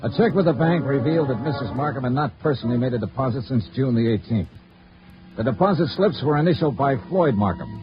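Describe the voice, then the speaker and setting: An actor playing a dry, probing insurance investigator in a 1950s radio detective drama, clipped and matter-of-fact. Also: male voice, mid-range voice, animated, quick pace, over music, natural voice. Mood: probing, businesslike. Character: dry, probing male insurance investigator narrating his case. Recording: dull, noisy old radio recording.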